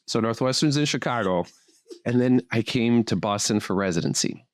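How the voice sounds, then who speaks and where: A man's voice: medium pitch, animated, normal speed, no room sound, studio quality. A man in his thirties, born in Brazil and raised in Miami, telling his story as a guest on a podcast in a chatty, upbeat way with self-deprecating humor. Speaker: fertility doctor, guest. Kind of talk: relaxed podcast interview.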